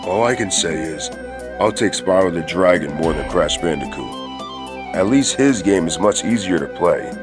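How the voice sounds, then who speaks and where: A man's voice: low-pitched, expressive, moderate pace, music in the background. A man voicing a big, brash, hot-headed and shameless gorilla in a scripted comedy cartoon. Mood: easygoing. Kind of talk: scripted comic cartoon dialogue.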